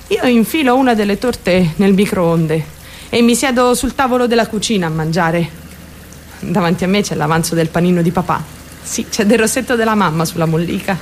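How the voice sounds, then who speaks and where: An actress playing a naive, lovestruck, wounded teenage girl in an intimate radio drama monologue, confiding directly in her listeners with dramatic pauses. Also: on the low side, a little hiss or hum, lightly acted. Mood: hurt, subdued. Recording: music under the voice. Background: music.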